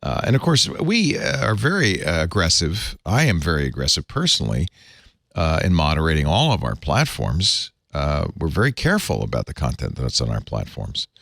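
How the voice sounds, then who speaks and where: A man hosting a casual tech talk show, animated and opinionated, discussing his own company's forums. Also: very deep voice, moderate pace, gravelly, dry recording, studio quality. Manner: lively, animated retelling with quoted voices. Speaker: podcast host.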